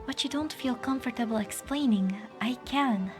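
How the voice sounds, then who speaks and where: A woman voicing a polite, serenely controlling scientist mastermind in a game drama fan dub, measured and faintly ominous. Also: fairly high pitch, close to an everyday voice. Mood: gentle, insistent. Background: clear background music.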